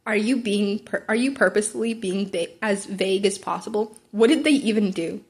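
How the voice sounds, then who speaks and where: Story character: exasperated male schoolteacher read by a woman, weary, curt, slightly husky. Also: medium pitch, moderate pace. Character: tired, grumbling male teacher, exasperated.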